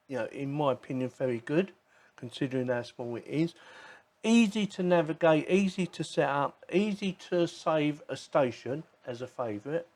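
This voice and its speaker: A man's voice: on the high side, a little mellow. A man giving a casual, informal product demonstration, chatting and thinking aloud as he goes. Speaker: tech product reviewer. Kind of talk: casual unboxing and demo commentary.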